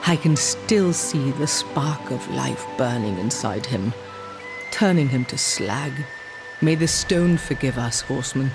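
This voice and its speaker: An actor playing an ancient, sorrowful shaman of a stone people in a video game, solemn and measured. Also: mid-range voice, lively intonation. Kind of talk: video game cutscene acting. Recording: clear music behind the voice. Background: game score.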